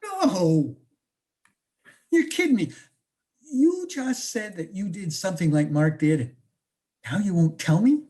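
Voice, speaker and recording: A woman's voice: lowish voice, animated, slow, long pauses, mellow. A woman acting a prying, incredulous close friend in a conversational comic one-act, with teasing, probing questions.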